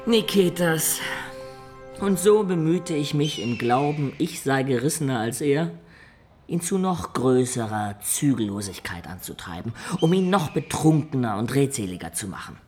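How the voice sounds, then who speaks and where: A wily adventurer of a radio play, performed by a man confides his story to a listener. The voice sits at a middle pitch. Faint music runs underneath. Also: expressive, normal speed, a little mellow, close to an everyday voice. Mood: rueful. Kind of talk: radio drama acting.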